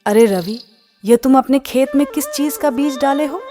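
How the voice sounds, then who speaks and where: A woman plays an impatient young lady crow in a cartoon. Her voice is high and mellow, nagging and fretful, with faint music underneath. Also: normal speed, lightly acted. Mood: anxious, nagging. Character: impatient young female crow sweetheart, bossy and worried.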